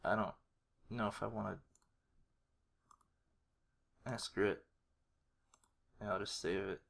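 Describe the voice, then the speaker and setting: A man's voice: on the low side, steady tone, takes it slowly, creaky, a bit bright. A man narrating a casual tutorial, hesitant and unrehearsed, thinking aloud as he works. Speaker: animator, video creator.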